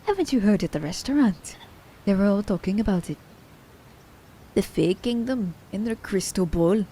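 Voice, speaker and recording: A woman playing a cunning, haughty immortal assassin in an ASMR audio drama, speaking in theatrical, formal diction, breathy and often dropping toward a whisper. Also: mid-range voice, lively intonation, normal speed, warm tone, a little hiss or hum, natural voice, poor audio. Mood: scheming, disdainful. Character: immortal female assassin, cunning, teasing, haughty, romancing her captive.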